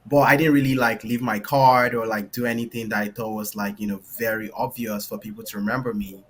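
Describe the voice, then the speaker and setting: A man's voice: fairly low pitch, fairly even intonation, a little fast, fairly bright tone, slightly rough. A man from Nigeria with a light Nigerian accent, a panelist telling a personal story in a loose, rambling way full of "like" and "right?". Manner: loose, filler-heavy, tags lines with "right?". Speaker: panelist, engineer and founder. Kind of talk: casual storytelling.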